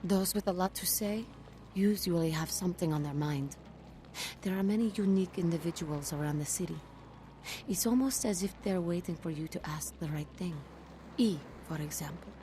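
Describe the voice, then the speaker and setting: A woman's voice: on the low side, moderate pace, mellow, slight background noise, lightly acted. A woman playing a cryptic fortune teller in a video game, speaking in portentous riddles. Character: cryptic, ominous female fortune teller who sees the future. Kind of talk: video game dialogue acting.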